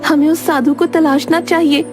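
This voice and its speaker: A woman's voice: high voice, fairly even intonation, normal speed, over music, pitched above the performer's own voice, lightly acted. A woman voicing an earnest young villager in a cartoon story, speaking with dramatic indignation and remorse. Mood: indignant. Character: Chanchal, earnest remorseful young villager who feels cheated.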